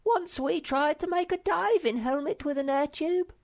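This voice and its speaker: A woman voicing a small, excitable male creature in a storybook reading, speaking in an eager, boastful way. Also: high-pitched, moderate pace, voice pushed up. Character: high squeaky excitable little male creature.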